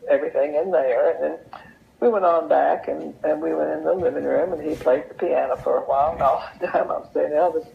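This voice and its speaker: An older woman tells anecdotes in an unhurried, folksy way, repeating phrases for emphasis as an interview guest. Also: deep voice, fairly even intonation, vocal fry, slight background noise. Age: older, over 70. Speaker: interview guest sharing memories. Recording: dull, lo-fi sound.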